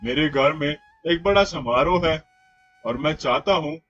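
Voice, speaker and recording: A man voicing a wealthy, self-assured village gentleman with a measured, patronly delivery in a moral story. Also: fairly high pitch, muffled recording, faint music behind. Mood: impressed.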